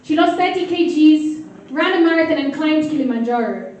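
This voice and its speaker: Woman doing standup, high voice, teasing the crowd, far off in a big echoey hall with people murmuring. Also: a little fast, darkish tone, a little hiss or hum. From Kenya. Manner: playful, teasing the audience. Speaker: stand-up comedian. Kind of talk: stand-up comedy set. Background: audience laughter and crowd murmur.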